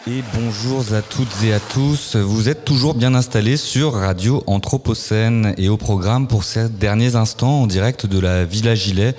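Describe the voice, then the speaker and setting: A man hosting a live radio interview at a festival, speaking in a measured, formal way with some hesitant fillers. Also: fairly low pitch, darkish tone, big-room sound, soft background music. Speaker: radio host. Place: festival venue, live broadcast.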